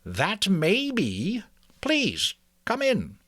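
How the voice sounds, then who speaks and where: An elderly mouse healer, acted out by a man for a storybook reading. The voice is lifted well up and swings widely in pitch. He is precise, authoritative and doctorly, stressing his instructions. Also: huge pitch swings, very slow, fairly bright tone, dry recording, clean studio sound, heavily acted. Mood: kindly, grave. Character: very old wise male mouse healer, authoritative. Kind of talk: dramatic storybook character reading.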